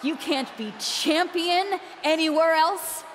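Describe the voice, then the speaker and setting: A bitter, taunting wrestling promoter. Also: female voice, very high voice, fairly bright tone, slight background noise, heavily acted. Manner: cold, taunting, deliberate. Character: bitter, jealous young woman wrestling promoter. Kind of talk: video game cutscene acting. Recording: roaring arena crowd behind.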